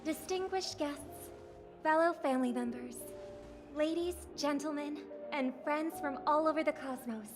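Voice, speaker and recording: A woman voicing a graceful young idol who hosts a festival, speaking in a measured, formal, ceremonial way. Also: high-pitched. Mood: ceremonious. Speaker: festival host. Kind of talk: video game voice acting. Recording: game audio with clear music behind.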